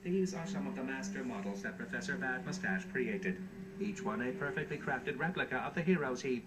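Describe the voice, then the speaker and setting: An actor playing a resentful, bargaining helper robot in a cartoon game, speaking in a wordy, formal, sardonic way. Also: male voice, medium pitch, expressive, a little fast, lightly acted. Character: hardworking, resentful, bargaining helper robot who collects figures. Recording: distant, echoey game audio with background noise.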